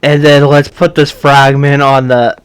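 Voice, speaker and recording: A hoarse man who is losing his voice, casually talking through a game as he plays and working out his next steps aloud. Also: medium pitch, flat delivery, measured pace, no echo. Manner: thinking aloud, methodical. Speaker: gameplay streamer. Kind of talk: casual gameplay commentary.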